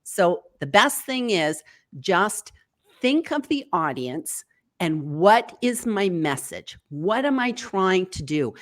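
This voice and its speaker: A woman from the United States talks over a video call as a guest coach. Her voice swings widely in pitch, jumping up when she voices quoted worries. She sounds encouraging and practical. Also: slow pace. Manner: animated, jumps high for quoted thoughts. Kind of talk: online interview.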